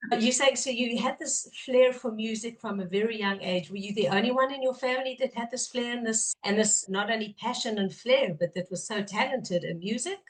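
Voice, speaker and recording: A woman's voice: fairly high pitch, a little fast, hardly any pauses, low-quality recording. A woman hosting an interview podcast, chatting enthusiastically with her guest and often rephrasing as she goes. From Israel-based, English-speaking. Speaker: podcast host. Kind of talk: warm interview chat.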